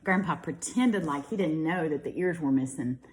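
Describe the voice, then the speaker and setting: A woman's voice: sits low, normal speed, darkish tone. A woman reads a storybook aloud like a teacher to young children, expressively, with casual asides. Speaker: kindergarten teacher reading to pupils.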